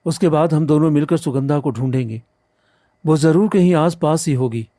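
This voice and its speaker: A man's voice: fairly high pitch, normal speed, mellow, dry recording, close to an everyday voice. A man voicing a loyal young villager and younger brother in a cartoon story, earnest and pleading. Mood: urgent. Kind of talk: Hindi cartoon story acting.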